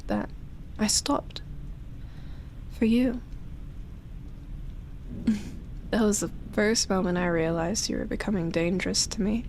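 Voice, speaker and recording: Slow, seductive vampire woman, acted by a woman. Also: medium pitch, slight background noise, low-quality recording. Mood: darkly confiding, then wistful confession. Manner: breathy teasing, soft sighs and chuckles, whispered phrases. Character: seductive, obsessive yet tender immortal female vampire. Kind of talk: intimate roleplay ASMR audio drama.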